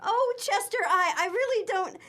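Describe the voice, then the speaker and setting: A sweet, patient young woman who speaks in a very high voice. She hesitates and trails off gently. The delivery suits vintage radio comedy. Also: normal speed, noisy background. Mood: worried. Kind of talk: old-time radio comedy acting.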